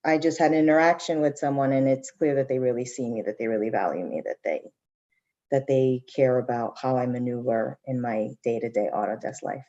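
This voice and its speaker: A woman with a notably low voice speaks on a conference panel, working through her ideas out loud. She often checks in with her listeners and restarts her thoughts. The audio sounds a little dull. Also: a little fast. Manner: reflective, many restarts and "right?". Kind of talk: panel discussion.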